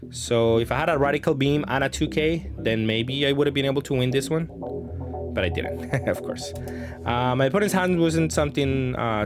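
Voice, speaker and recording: Male gameplay commentator, medium pitch, casual and hesitant, reasoning through moves over clearly audible music. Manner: hesitant, thinking aloud. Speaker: card game player commentating on own matches.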